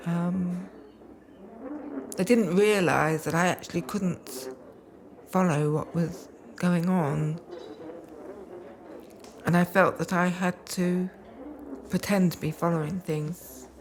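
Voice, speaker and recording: A woman speaking reflectively and haltingly in a documentary, with deliberate pauses. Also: fairly low pitch, steady tone, a little hiss or hum. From England. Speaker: deaf poet. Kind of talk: reflective spoken documentary, with read poetic lists.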